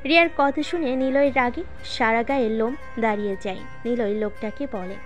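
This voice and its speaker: A woman narrates a scripted audio story. Her voice sits fairly high, and she tells it steadily and dramatically over faint background music. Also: brisk.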